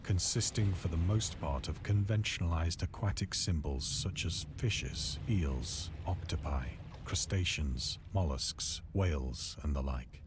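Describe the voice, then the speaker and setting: A man playing a haunted, awestruck narrator who recounts an ancient horror in solemn, formal, deliberate literary prose. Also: low voice, noisy background. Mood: solemn dread.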